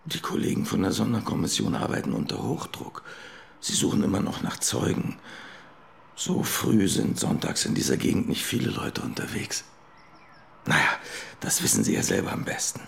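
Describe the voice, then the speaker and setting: An actor playing a dry, formally polite police detective who talks in a deliberate, insinuating way in a radio drama. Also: male voice, low-pitched, huge pitch swings, creaky, slight background noise, heavily acted. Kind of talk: naturalistic German radio drama acting.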